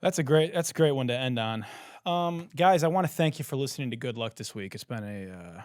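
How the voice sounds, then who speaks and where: A young man hosting a podcast, ranting in disbelief with lots of swearing and laughter, a little hoarse. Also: mid-range voice, animated, fairly quick, darkish tone, dry recording, clean studio sound. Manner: profane, laughing, incredulous ranting. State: hoarse, says he has lost his voice. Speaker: podcast host. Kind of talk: casual comedic commentary.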